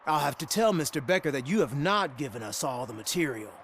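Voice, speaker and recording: A man's voice: a little high, sing-song, a little fast, fairly bright tone, slight background noise. An actor playing an assertive man in a crime video game, theatrical and emphatic. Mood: smug, accusing. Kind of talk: video game cutscene acting.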